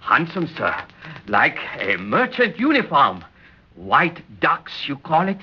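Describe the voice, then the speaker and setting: A man's voice: fairly high pitch, huge pitch swings, slow pace, breathy edge, exaggerated voice. An actor in an old radio drama playing a polite, deferential island tailor who is proud of his craft. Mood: proud, apologetic. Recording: muffled old radio recording.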